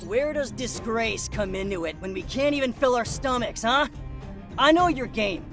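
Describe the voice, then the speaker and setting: A man's voice: very high-pitched, animated, normal speed. A man voicing a menacing, callous, hungry thug in a video game, with a mocking, threatening drawl. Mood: aggrieved, sneering. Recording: game music behind the voice. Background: background music.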